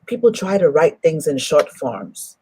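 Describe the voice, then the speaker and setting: A woman's voice: sits low, very animated, unhurried, darkish tone, slight background noise, rough recording. A woman hosting a live online talk show, greeting viewers by name and speaking effusively. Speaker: host.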